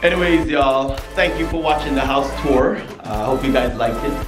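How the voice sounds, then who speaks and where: A man's voice: medium pitch, normal speed, over music. A man in his thirties, a father joking around on a casual house-tour vlog, filmed on a handheld camera. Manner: joking, playful. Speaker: vlogger, father. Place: empty house, indoors. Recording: echoey empty rooms.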